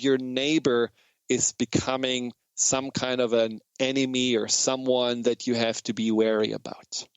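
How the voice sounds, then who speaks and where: A man's voice: mid-range voice, no room sound. A man from Germany with a German accent, earnestly hosting a radio talk show and often restarting his phrases as he searches for words. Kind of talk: reflective monologue on a talk show.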